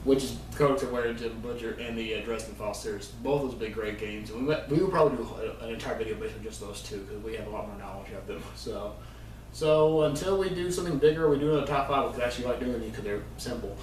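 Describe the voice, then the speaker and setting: Fast-talking man in a roomy, distant recording. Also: medium pitch. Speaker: video co-host. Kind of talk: casual unscripted gaming discussion. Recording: roomy, distant mic, faint noise.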